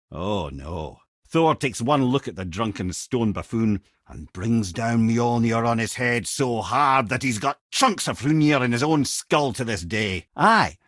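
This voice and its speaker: An actor playing a clever, wry old storyteller sage in a video game, with a Scottish accent and a theatrical, lilting, erudite delivery. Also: male voice, mid-range voice, sing-song, normal speed, fairly bright tone, no room sound, clean studio sound. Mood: urgent, advising, then grave storytelling.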